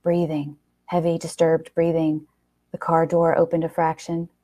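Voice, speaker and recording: A woman reading a short story aloud in an expressive, wry first-person narration with dramatic pauses. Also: deep voice.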